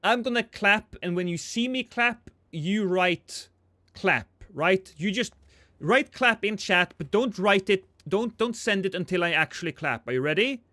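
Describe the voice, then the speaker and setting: A man's voice: sits high, expressive, unhurried, pushed and bright, no room sound. Man, game streamer, joking, chatty, dry close sound. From Norway. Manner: joking, chatty, reads chat aloud. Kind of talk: casual live-stream chat while gaming.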